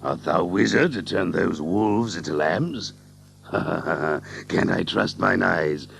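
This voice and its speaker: A stout old-English friar, with a very gravelly, low voice. He blusters slowly and heartily in archaic speech, as in a radio drama, over a slightly noisy recording. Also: a bit bright, full character voice. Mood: amazed, humbled. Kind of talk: audiobook radio drama acting.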